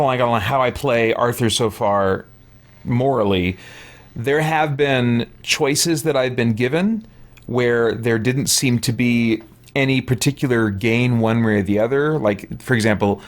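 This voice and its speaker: A man giving casual, rambling gameplay commentary as a streamer, thinking aloud and explaining himself to viewers. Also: medium pitch. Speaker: video game streamer.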